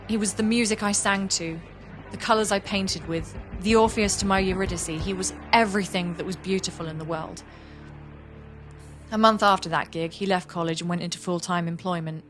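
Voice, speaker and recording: Casting: actress as reflective young woman artist, lower-pitched voice, earnest confessional audio-drama storytelling, music underneath. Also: steady tone, normal speed, lightly acted. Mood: wistful. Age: young adult. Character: young woman artist, reflective, warm, wistful about an old friend. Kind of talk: audio play monologue and dialogue.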